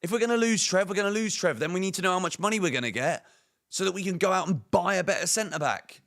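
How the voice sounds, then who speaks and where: Croaky fired-up man. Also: high-pitched, fast talker, a bit bright. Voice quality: hoarse. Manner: opinionated, emphatic, rhetorical questions. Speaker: fan channel host. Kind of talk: football transfer news commentary.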